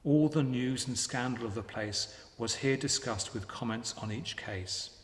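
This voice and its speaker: A man recites rhymed poetry at a slow, deliberate pace. His voice sits at a middling pitch. The room rings around him with long, hall-like echo. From England. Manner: measured, deliberate delivery of rhymed verse. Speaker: poetry reader. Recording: echoey, reverberant hall.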